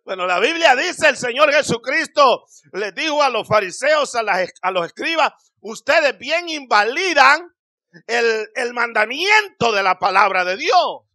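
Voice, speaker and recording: A middle-aged man preaching a sermon in a small church hall, speaking into a handheld microphone with emphatic, drawn-out phrases that rise to shouts. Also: very high-pitched, sing-song. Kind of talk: Spanish-language Pentecostal sermon.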